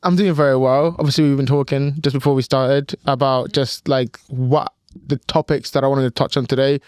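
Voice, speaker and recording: Young male podcast host, clear resonant voice, middle pitch, loose and chatty. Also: fairly even intonation, a little fast, no echo, studio quality. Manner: warm, rambling questions with many "you know" fillers. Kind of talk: casual podcast interview.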